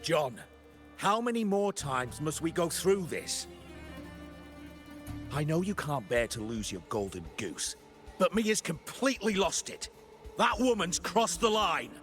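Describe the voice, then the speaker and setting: High, animated, exasperated man. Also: unhurried, pauses often, faint music behind. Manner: indignant, exasperated. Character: exasperated male complainant at the arena, fed up.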